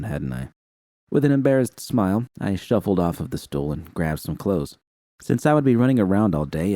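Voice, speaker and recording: A man narrating a first-person fan story in a steady, unhurried reading. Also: sits low, no room sound, clean studio sound. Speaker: fan fiction reader.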